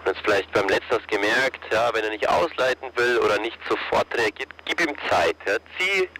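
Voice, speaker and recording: Calm man coaching over a radio. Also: on the low side, brisk, a little hiss or hum, rough recording. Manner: calm, encouraging step-by-step instructions. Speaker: paragliding instructor. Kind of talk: radio coaching of a pilot in flight.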